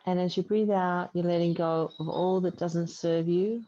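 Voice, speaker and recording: A woman yoga teacher leading a guided relaxation meditation in a soothing, unhurried way with long pauses. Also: fairly low pitch, fairly even intonation, mellow. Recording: dull muffled audio, faint background noise.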